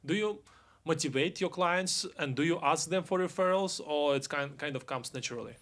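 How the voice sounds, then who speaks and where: A man hosting a podcast, asking steady, probing interview questions. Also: on the high side, moderate pace. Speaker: podcast host.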